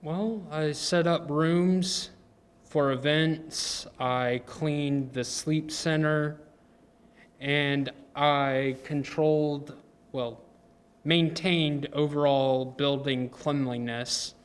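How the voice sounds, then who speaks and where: Slow, smooth-voiced young man. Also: fairly high pitch, fairly even intonation. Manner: earnest, pauses with ums and self-corrections. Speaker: program intern. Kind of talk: prepared self-introduction.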